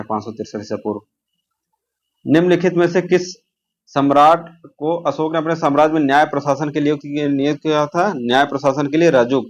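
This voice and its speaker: A man teaching an exam-prep lesson, asking quiz questions and answering them himself, repeating the key answers. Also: medium pitch. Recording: dull lo-fi audio.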